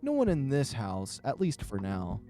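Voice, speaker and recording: A man's voice: mid-range voice, huge pitch swings, normal speed, dark tone, soft background music. A man voicing a responsible, considerate male animal friend in a visual novel. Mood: caring, steady. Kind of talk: visual novel character reading.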